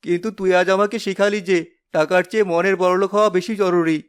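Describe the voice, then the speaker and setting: High-voiced, fatherly cartoon man acted by a man. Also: steady tone, fast, clear, resonant tone, natural voice. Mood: moved, approving. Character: wealthy, kindly father of a young girl. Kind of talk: scripted cartoon dialogue. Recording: music behind the voice.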